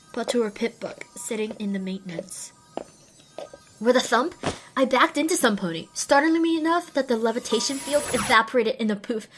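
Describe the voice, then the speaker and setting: A woman's voice: fairly high pitch, a little hiss or hum, natural voice, rough recording. A woman playing a small, anxious outcast pony mare who tells her own story expressively and introspectively in an audio drama. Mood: tense recollection. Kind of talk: first-person dramatic audiobook narration.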